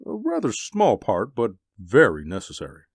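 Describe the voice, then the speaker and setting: A jovial, showy stage actor in an old radio drama. His voice is lively and bouncy, and it is recorded close and dry. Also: on the low side, normal speed, gravelly, breathy edge, no echo, heavily acted. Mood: chatty. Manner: jovial, theatrical and chatty. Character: breezy, theatrical male stage actor. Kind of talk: 1940s radio acting.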